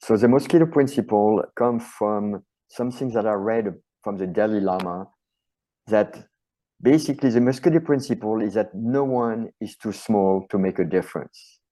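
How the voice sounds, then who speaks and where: A man's voice: on the low side, normal speed, dark tone, low-quality recording. A middle-aged man with a French accent, a chiropractor and author answering interview questions earnestly and passionately, often stacking phrases into lists.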